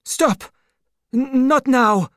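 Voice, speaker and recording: A man's voice: sits high, expressive, slow pace, smooth, dry recording, voice pushed up. Brief: man doing an older fatherly doctor, raised thin voice, strained and pleading, dry close sound. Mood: alarmed. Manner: pleading, emotional. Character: aging gentle male doctor, anxious and fatherly.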